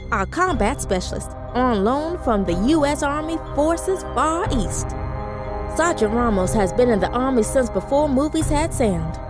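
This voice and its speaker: An actress playing a commanding, wry 1940s spy who narrates an audio drama with confident, dramatic delivery. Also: female voice, high-pitched. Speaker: narrator and lead character. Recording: music under the voice.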